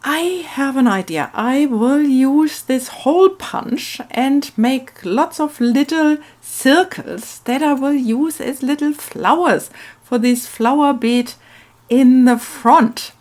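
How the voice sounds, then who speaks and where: A woman hosting a craft video, talking in a musing, unhurried storytelling way. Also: high voice, darkish tone. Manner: musing, hesitant, thinking aloud. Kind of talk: casual craft narration and slow storytelling.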